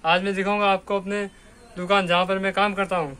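A man's voice: high-pitched, level tone, fast talker, bright, forceful tone, smooth. Young man touring his shop on camera. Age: young adult. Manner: plain tour-guide pointing out sights. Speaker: vlogger. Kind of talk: casual walk-through vlog. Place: walking from home to a sari shop, indoors and out. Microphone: handheld phone camera.

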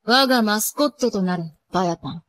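A woman's voice: medium pitch, lively intonation, brisk, fairly bright tone, slight background noise, natural voice. A woman voicing a ruthless, imperious young princess and wrestler in an anime, speaking in haughty, archaic commands. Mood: cold, imperious. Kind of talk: anime voice acting.

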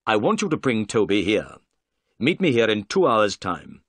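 A man voicing a calm, polite private detective in an audiobook, with an assured, authoritative manner. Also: lowish voice, normal speed, slightly rough, no room sound, exaggerated voice. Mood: decisive.

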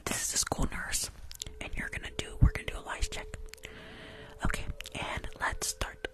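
A young woman chatting in a breathy ASMR whisper, very close to the microphone in a small room. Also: moderate pace, sharp tone. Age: young adult. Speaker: ASMR creator. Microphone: close foam-covered microphone on desk.

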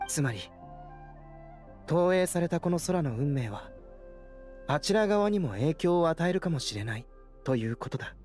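An actor playing a calm young male alchemist who explains things in a gentle, measured way. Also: a little high, faint music behind, lightly acted. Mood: analytical. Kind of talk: video game voice acting. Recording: game cutscene audio with soundtrack under it.

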